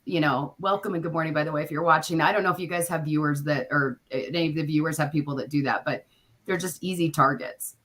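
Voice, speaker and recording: A woman co-hosting a podcast, hoarse from a chest cold, with a naturally low voice; she rambles, giggles and trails off with "I don't know". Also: fairly even intonation, fast talker, a bit bright. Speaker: podcast co-host. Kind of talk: casual chat.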